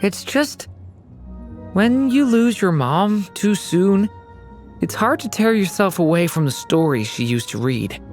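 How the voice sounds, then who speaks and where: A woman playing a gentle, earnest young boy who misses his mother, in a video game, speaking softly and hesitantly. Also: medium pitch, expressive, measured pace, lightly acted. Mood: wistful, sad. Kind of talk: dramatic video game voice acting. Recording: music behind the voice.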